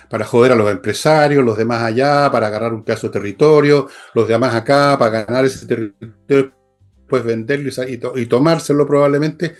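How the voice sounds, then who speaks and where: Chilean guy doing political commentary, blunt and scornful, swears a bit, medium pitch, faint music behind. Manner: emphatic, scornful, blunt with coarse slang. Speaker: political commentator and show host.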